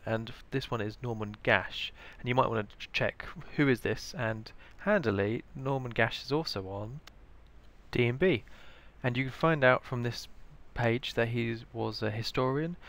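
Low, slightly creaky British man narrating. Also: a bit bright. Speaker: information librarian, tutorial presenter. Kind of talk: scripted instructional narration.

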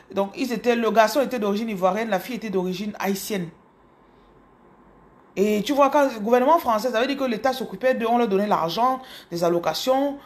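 A woman in her thirties from Cameroon with a Cameroonian French accent, telling her story to her followers in an animated, casual way, speaking close to a phone in a small room. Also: fairly high pitch. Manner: animated, repeats words, addresses viewers directly. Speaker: business coach. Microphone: phone camera held close.

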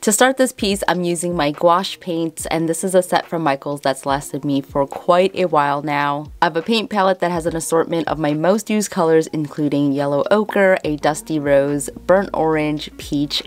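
A woman in her twenties with a Chicago accent, chatting casually as she explains a DIY craft tutorial step by step. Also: deep voice. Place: indoors, room with houseplants.

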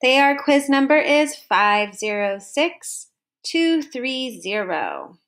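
Expressive, high-voiced woman reading a storybook. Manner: sing-song, deliberate, drawn-out phrase endings. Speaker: teacher reading aloud to students. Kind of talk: expressive children's storybook read-aloud. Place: home kitchen, indoors.

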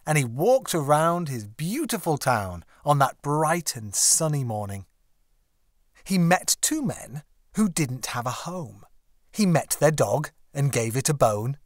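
A man narrating a children's story as an audiobook reader, with expressive, deliberate phrasing. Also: fairly high pitch, no room sound, clean studio sound.

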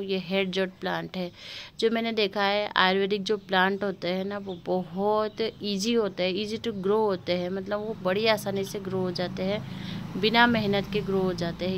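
A woman's voice: medium pitch, a little hiss or hum. Chatty woman touring her terrace garden. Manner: chatty, unhurried, with many fillers, addresses viewers as friends. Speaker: gardening vlogger. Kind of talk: casual garden tour narration. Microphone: phone camera held while filming.

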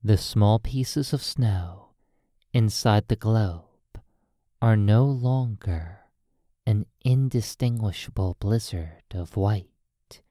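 An ASMR hypnosis roleplay by a sleep therapist speaking in a hushed, soothing manner, in drawn-out phrases with long pauses. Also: medium pitch, no echo, studio quality.

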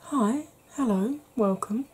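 A middle-aged woman chatting softly in a rambling, gentle way for an ASMR makeup vlog, seated in a small room at home. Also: fairly high pitch, slow, husky, poor audio. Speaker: beauty YouTuber. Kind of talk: soft-spoken ASMR makeup chat.

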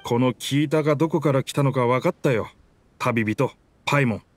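An actor voicing a proud, dramatic talking pirate ship in an anime video game, in pompous, rough masculine speech. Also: male voice, mid-range voice, normal speed, dry recording, close to an everyday voice. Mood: wistful, subdued. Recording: music behind the voice.

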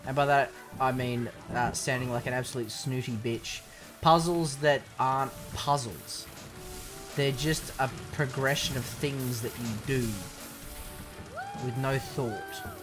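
A young man commentating on a video game in a sarcastic, sweary way, with sudden excited outbursts. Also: medium pitch, unhurried, over music. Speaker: gaming commentator. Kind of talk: let's play commentary.

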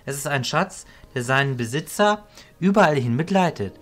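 A man playing a calm, wise illusionist mentor, measured and didactic, in a fantasy audio drama. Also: fairly high pitch, faint music behind. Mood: wise, instructive.

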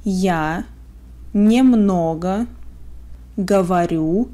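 A woman with a Russian accent teaching phrases, saying them word by word with careful pauses for learners to repeat. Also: fairly low pitch, warm tone. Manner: word-by-word, carefully spaced pronunciation. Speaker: language teacher. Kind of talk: slow phrase drills for learners.

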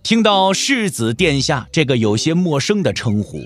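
A man's voice: fairly high pitch, very animated, normal speed, soft background music. A man narrating a scripted Chinese wuxia audio drama as storyteller.

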